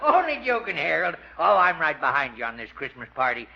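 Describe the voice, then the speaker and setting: High-voiced, wisecracking small-town doc. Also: male voice, wildly swooping pitch, brisk, gravelly, heavily acted. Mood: wry, friendly. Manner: wisecracking, folksy. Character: joking, teasing small-town male doctor. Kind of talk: 1950s radio comedy acting. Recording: dull, hissy 1950s radio recording.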